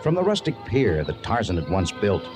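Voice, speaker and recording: A man's voice: lowish voice, big pitch swings, fairly quick. A man narrating an old-time radio adventure in a formal, dramatic storytelling style. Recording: vintage radio, loud music underneath. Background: loud music.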